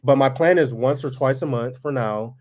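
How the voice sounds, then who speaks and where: A man with a monotone voice chatting casually to viewers as host of a family farm vlog, laid-back and folksy. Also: medium pitch, moderate pace.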